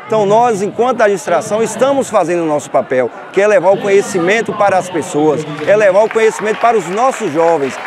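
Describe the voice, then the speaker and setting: A middle-aged man from Brazil speaks formally in a high voice. He stands outdoors under a tent. A crowd is clearly heard behind him. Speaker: municipal administration official. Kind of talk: official statement.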